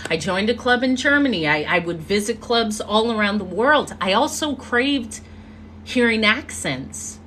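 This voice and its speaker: Slow, bright-voiced woman speaker. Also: fairly high pitch, lively intonation, slight background noise. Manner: earnest storytelling with drawn-out pauses. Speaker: motivational speaker. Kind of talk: live-streamed motivational talk. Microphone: phone or webcam mic.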